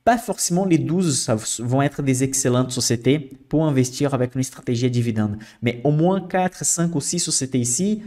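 Young guy, teaching stock filters on screen share, steady mid voice, lots of "ok?". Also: mid-range voice, moderate pace, few pauses. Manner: explanatory, frequent "ok?" checks and fillers. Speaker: investing channel host. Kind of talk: screen-share finance tutorial.

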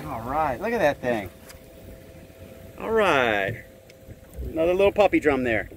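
A man's voice: a little high, sing-song, unhurried. A middle-aged American man giving casual, folksy running commentary as host of a fishing vlog. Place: outdoors on a small boat on open water. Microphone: handheld camera or phone. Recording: noisy outdoor boat and water sound.

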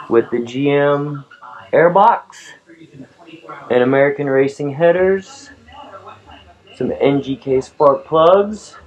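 A man talks shop in a relaxed, matter-of-fact way, using plain garage slang. He is recorded on a handheld action camera inside a large car repair garage. The audio is dull and lo-fi. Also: mid-range voice. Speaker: performance shop owner and tuner. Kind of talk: casual shop talk while filming.

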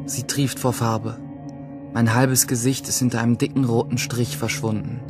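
A man's voice: mid-range voice, level tone, normal speed, music in the background, natural voice. A man playing a troubled, guilt-ridden teenage schoolboy, speaking his inner monologue huskily in a German radio play. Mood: numb.

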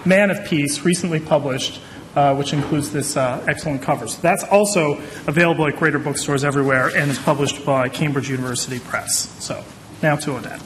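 Middle-aged male moderator, slightly high, echoing hall. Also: a little fast, fairly bright tone. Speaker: moderator introducing speakers. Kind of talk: informal event introduction. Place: large wood-panelled courtroom-style hall. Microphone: podium microphone. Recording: reverberant hall, some noise.

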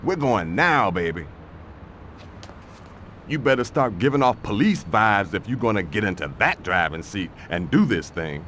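An actor playing a pushy street crook with punchy slang in a crime video game. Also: male voice, lowish voice, big pitch swings, moderate pace, a bit bright, slight background noise. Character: pushy, fast-talking male street crook. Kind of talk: video game cutscene acting.

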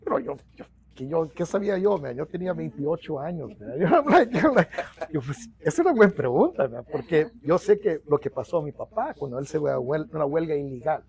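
A middle-aged man with a Mexican-American accent speaks on a lapel mic in a small office. His voice sits a little high and carries a gravelly edge. He tells his stories in Spanish, drops English words in, and restarts his phrases often. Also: fairly quick. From United States. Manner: mixes English into Spanish, many restarts, animated hand gestures. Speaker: union organizer guest. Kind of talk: storytelling interview.

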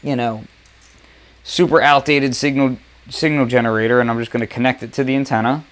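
A man's voice: mid-range voice, fairly even intonation, brisk, fairly bright tone. A man presenting a music gear demo in an informal, enthusiastic way, speaking casually from a basement studio. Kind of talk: casual product demonstration.

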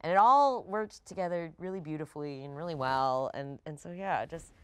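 A woman in her thirties talking casually as a guest on a gaming discussion show, rambling and animated, often saying "like" and "you know". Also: low-pitched, moderate pace, clear, resonant tone. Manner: rambling, animated, peppered with 'like' and 'you know'. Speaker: tabletop game player, guest on a discussion show. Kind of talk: casual chat. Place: TV-style studio set.

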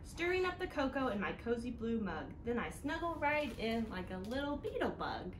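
A woman hosting a children's storytime, reading aloud in an animated, sing-song way that speaks directly to little listeners. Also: on the high side, moderate pace. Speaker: children's storytime host at a library. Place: living room indoors, seated on a couch. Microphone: camera a few feet away. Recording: roomy home sound with noticeable background noise.

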